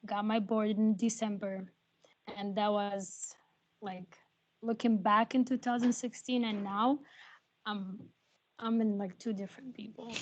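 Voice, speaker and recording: Soft-spoken woman, native Arabic speaker. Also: mid-range voice, a little hiss or hum. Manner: halting, many ums, soft and earnest. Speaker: nursing graduate. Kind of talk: personal story on a video call. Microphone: video call.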